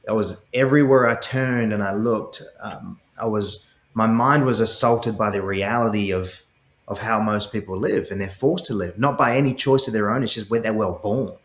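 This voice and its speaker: A man's voice: fairly low pitch, moderate pace, reverberant. A middle-aged man with an Australian accent speaks with passion as an interview guest, often repeating words as he starts his sentences. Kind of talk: earnest interview conversation.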